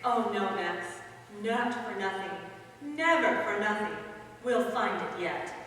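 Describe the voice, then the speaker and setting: A woman's voice: mid-range voice, lively intonation, takes it slowly, fairly bright tone. A woman playing a suave, commanding crime boss in a noir radio parody, arch and theatrical with polite menace. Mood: theatrical toasting. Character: scheming female villain boss, suave and commanding. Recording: echoey hall recording from far off.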